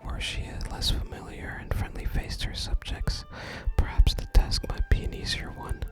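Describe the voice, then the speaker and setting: A person whispering softly through an ASMR video game playthrough, commenting and reading text aloud. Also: very high voice, very animated, normal speed. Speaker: ASMR gaming creator. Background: game music.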